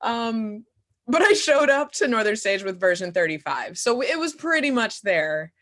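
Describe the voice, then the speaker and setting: A young woman giving casual interview answers as a guest playwright and performer, speaking in a candid, self-deprecating, animated and giggly way. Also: fairly high pitch, normal speed. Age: young adult.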